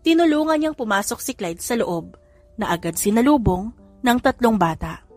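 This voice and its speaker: A woman's voice: a little high, expressive, normal speed, a little mellow, dry recording, faint music behind. Woman narrating a romance story. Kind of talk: scripted narration of a romance audiobook.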